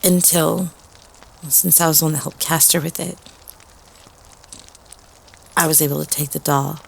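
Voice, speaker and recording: A woman acting as a student telling a ghost story at a campfire, with a husky voice and dramatic pauses. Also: low-pitched, steady tone, heavily acted. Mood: somber, eerie. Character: young woman student telling a spooky doll story, playful prankster. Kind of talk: audio roleplay campfire storytelling.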